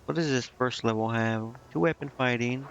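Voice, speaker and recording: A man with a creaky, gravelly voice musing his way through a game on stream. He talks casually, half to himself, often asking and answering his own questions. The mic sounds dull and lo-fi, with clear noise behind him. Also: mid-range voice, normal speed. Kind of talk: casual gameplay commentary, thinking aloud.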